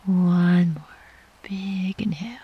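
A woman leads a guided meditation for sleep in a very breathy near-whisper. Her delivery is slow, gentle and soothing, with long pauses between phrases. The sound is a little dull and muffled. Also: fairly low pitch, fairly even intonation. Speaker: yoga nidra meditation guide.

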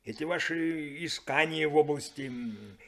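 An actor playing a defiant, sardonic ship's chief engineer in a 1970s radio play. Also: male voice, on the high side, unhurried, a bit bright.

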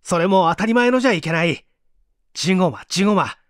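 Man playing an excitable, high-pitched eccentric young man. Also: fast, dry recording, studio quality. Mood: enthusiastic. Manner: polite, excitable, animated speech. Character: eager, eccentric young man, mystery-fiction fanatic. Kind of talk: dramatized audiobook dialogue.

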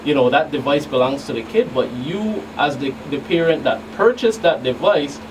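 A middle-aged man, a law enforcement agent, answering as a guest expert on a radio talk show, haltingly and often repeating words. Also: a little high, expressive. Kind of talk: explanatory radio interview answers. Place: small radio studio. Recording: noisy, distant mic with room hum.

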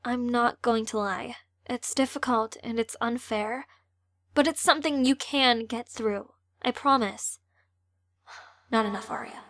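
Female actor as teenage girl, high bright voice, caring yet feisty, youthful, audio drama. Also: steady tone, measured pace, no room sound, studio quality. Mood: gentle.

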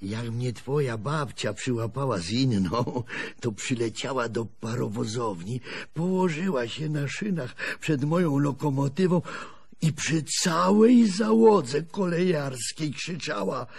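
A bantering old grandfather of a radio play. His voice is husky and unhurried, with big swings in pitch. He tells things in a folksy way. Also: lively intonation, full character voice. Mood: amused reminiscing. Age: older. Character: gruff, teasing old grandfather, former locomotive driver. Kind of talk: radio drama acting.

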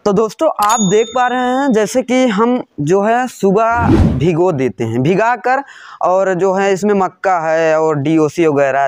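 A pig farmer giving a casual, rambling walkthrough of his farm shed, talking to viewers as friends and recorded on a handheld phone. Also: high-pitched. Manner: rambling, many fillers, addresses viewers as friends.

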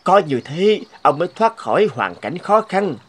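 A man voicing a calm old hermit sage in an animated folktale, self-assured and unhurried. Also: sits high. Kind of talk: Vietnamese animated folktale dubbing.

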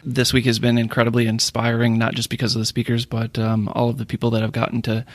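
Man from the US, flat delivery, dry sound. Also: mid-range voice, quick pace, no echo. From Minneapolis, US. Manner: enthusiastic, hesitant. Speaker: discussion participant.

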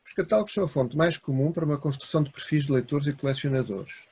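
A man with a European Portuguese accent giving a university lecture over a video call. He reads from notes in a measured way and lists items in short phrases. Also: on the high side, a little mellow, husky. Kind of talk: academic lecture read from notes. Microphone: video call webcam.

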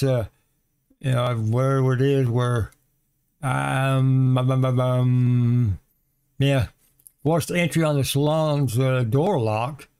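A man's voice: medium pitch, a little mellow, clean tone. A man reading a news article aloud from his screen and breaking off for sarcastic asides and chuckles, recorded on a desktop microphone. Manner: reads text aloud, then adds sarcastic asides and chuckles. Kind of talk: casual commentary on a read-aloud article.

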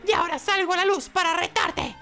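Ninja boy, very high sharp voice, solemn, dramatic, video game character, music behind. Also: fairly quick, full character voice. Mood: solemn, challenging. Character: solemn young ninja boy trainer speaking in shadowy proverbs. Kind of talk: reading video game dialogue in a put-on voice.

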